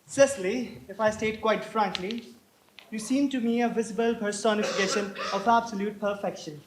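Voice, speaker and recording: Male actor as very high-pitched young gentleman, flippant and lovestruck, theatrical stage comedy, echoey recording. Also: steady tone, normal speed, noisy background, close to an everyday voice. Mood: ardent. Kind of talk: amateur stage comedy acting.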